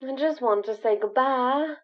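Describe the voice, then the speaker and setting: An actress playing an affectionate, wistful sweetheart in an old-time radio drama. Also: female voice, high voice, unhurried. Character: affectionate, wistful sweetheart seeing her man off. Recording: dull, muffled lo-fi sound.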